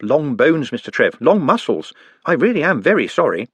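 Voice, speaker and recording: A man voicing a thin, gentle goblin who speaks formally and apologizes a lot. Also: a little high, sing-song, moderate pace, slightly rough, dry recording, pitched above the performer's own voice, heavily acted. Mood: earnest. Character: polite, earnest male goblin, thin and gentle.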